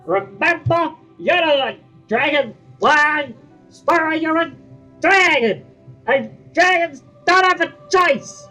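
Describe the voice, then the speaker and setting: A brash young male dragonfly sidekick yells and teases in a high, cheeky voice, voiced by a woman in a fan reading. He is loud and irreverent, never holding back. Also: lively intonation, slow, soft background music, exaggerated voice, rough recording.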